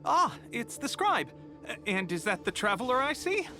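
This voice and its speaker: An actor playing an eager, deferential desert mercenary technician in a video game. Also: male voice, extremely high pitch, big pitch swings, normal speed, over music. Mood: eager, respectful. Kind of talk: video game cutscene voice acting.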